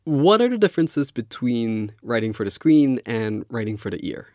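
Man, interviewer, conversational delivery, average pitch, very dry recording. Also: big pitch swings, moderate pace, darkish tone, dry recording. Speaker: podcast host, interviewer.

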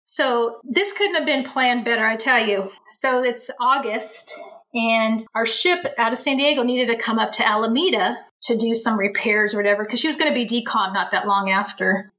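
Bright-voiced woman telling her story. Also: fairly high pitch, moderate pace, rough recording. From San Francisco Bay Area. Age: 40s. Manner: chatty, many restarts and asides. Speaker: interview guest, Navy veteran. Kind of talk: casual storytelling.

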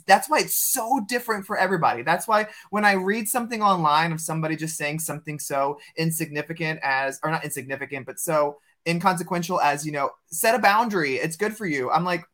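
A man's voice: a little high, brisk, hardly any pauses, pushed and bright. A man hosting a casual conversation, talking in a reflective, thoughtful way as an educational leader. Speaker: podcast host, educational leader.

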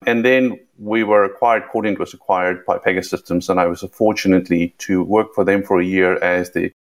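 Middle-aged South African guest, very low voice, measured, repeats words at starts. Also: male voice, steady tone. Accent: South African, somewhat Americanized with a British touch. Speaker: guest, tech executive. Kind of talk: podcast interview.

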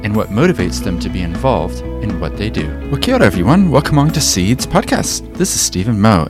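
A man with a New Zealand accent hosting a podcast interview, speaking in an earnest, encouraging way. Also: low-pitched. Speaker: podcast host.

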